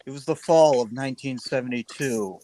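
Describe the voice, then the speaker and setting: A man's voice: medium pitch, fairly quick, mellow, a little hiss or hum, poor audio. A man chatting as a guest on a live stream, rambling and joking about himself. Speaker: guest artist. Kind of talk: casual live-stream chat.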